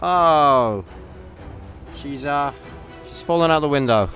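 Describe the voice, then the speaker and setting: A man with a Scottish accent acting a laid-back, deadpan space layabout in a comic radio play. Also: a little high, takes it slowly, over loud music. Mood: sheepish, mild. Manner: deadpan, hesitant understatement. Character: laid-back, careless male space layabout, drily unbothered.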